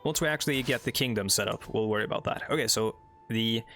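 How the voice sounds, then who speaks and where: A man's voice: fairly low pitch, fast talker, a bit bright. A young man from Norway with a Norwegian accent, English not his first language, rambling casually through gameplay commentary with lots of fillers. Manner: rambling, filler-heavy, chatty asides while reading game text. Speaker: let's play host.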